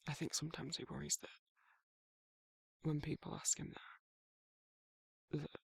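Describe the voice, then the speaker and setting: A man's voice: fairly high pitch, little pitch movement, moderate pace, no echo, exaggerated voice. A man playing a protective, awkward werewolf in an intimate ASMR roleplay, speaking breathily and hesitantly, often near a whisper. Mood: tender, confiding. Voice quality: husky, breathy, gravelly, often dropping to a whisper. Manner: hesitant, rambling, bashful, informal. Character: protective, awkward, soft-hearted male werewolf confiding in a friend.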